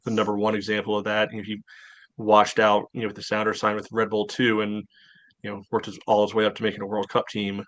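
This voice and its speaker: A man's voice: on the low side, monotone, quick pace, a bit bright. A man co-hosting a casual sports podcast, rambling and leaning on filler phrases. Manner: rambling, many "you know" fillers. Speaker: podcast co-host.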